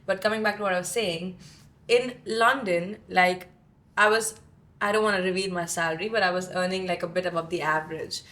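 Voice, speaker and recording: A woman's voice: fairly low pitch, fairly even intonation, fairly quick, a bit bright. A young woman from India co-hosting a podcast in casual chat, talkative, with many fillers and restarts. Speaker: podcast co-host.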